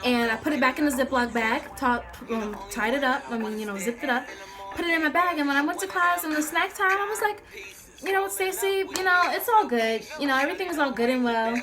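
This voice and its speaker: A young American woman telling a story casually to viewers, animated and slangy, acting out the dialogue as she goes. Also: high-pitched, fairly quick, over music. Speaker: storytime vlogger.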